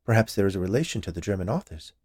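A man's voice: deep voice, expressive, racing, a little mellow, no room sound, studio quality. A man voicing a plump, cheerful woman confectioner in an audiobook, chatty, gushing and nosy. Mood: chirpy, curious. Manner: chirpy, gushing, inquisitive. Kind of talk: audiobook character voice.

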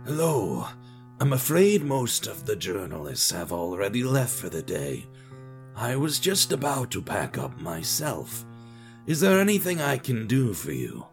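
A man's voice: on the low side, huge pitch swings, soft background music, heavily acted. A man playing a rather old, polite and mild newspaper receptionist in an audio drama. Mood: polite, puzzled. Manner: slow, polite, unhurried.

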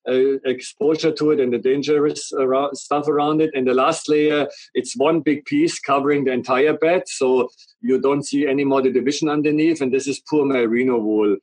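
A man's voice: mid-range voice, fairly even intonation, moderate pace, darkish tone, dry recording, poor audio. A middle-aged man from Germany with a strong German accent, earnestly explaining a product at length in an interview. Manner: earnest, explains in long run-on sentences. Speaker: guest, company representative.

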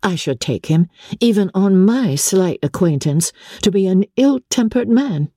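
Female reader as spirited young period heroine: raised pitch, lilting and emphatic, outspoken, husky edge, classic novel reading. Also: expressive, a little slow, mellow, dry recording, studio quality. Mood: candid. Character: spirited, outspoken young English gentlewoman, witty. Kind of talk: dramatic audiobook character reading.

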